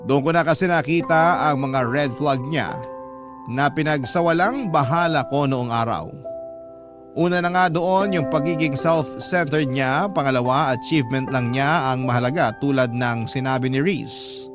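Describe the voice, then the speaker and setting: A man's voice: medium pitch, moderate pace. A man reading a first-person story dramatically as a radio storyteller. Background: background music.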